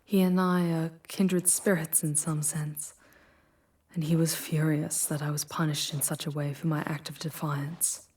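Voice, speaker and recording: A woman performs an ancient demoness for an ASMR roleplay, proud yet wounded. Her voice is low, breathy and husky, often sinking toward a whisper. She speaks very slowly, with formal, solemn deliberation as a guide through the underworld. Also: level tone, a little mellow. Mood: grave, recounting.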